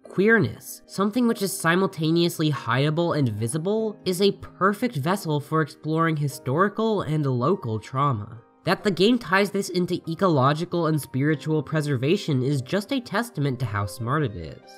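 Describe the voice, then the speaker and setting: Scripted review narration, mid-pitched androgynous voice, lively intonation, articulate essay style, faint music behind. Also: normal speed, hardly any pauses. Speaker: video game reviewer. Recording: voice over background music.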